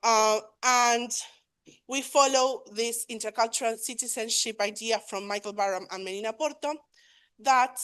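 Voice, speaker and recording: A woman's voice: fairly high pitch, steady tone, normal speed, a bit bright, clean tone. Woman presenting, full of fillers. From Argentina. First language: Spanish. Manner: hesitant, many fillers and self-corrections. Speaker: conference presenter. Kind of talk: academic presentation.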